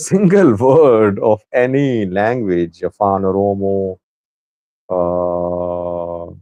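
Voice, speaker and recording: Male live-stream host, native Urdu speaker, mid-pitched, slow and halting with fillers, dry sound. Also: a little mellow, dry recording. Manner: unhurried, halting with many fillers. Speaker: news channel host. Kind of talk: live-stream chat, greeting viewers.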